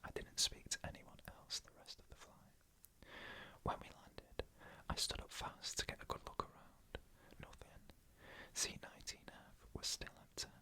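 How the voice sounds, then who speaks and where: A narrator speaking entirely in a breathy whisper, telling scary stories in a hushed, deliberate ASMR style with short, pausing phrases.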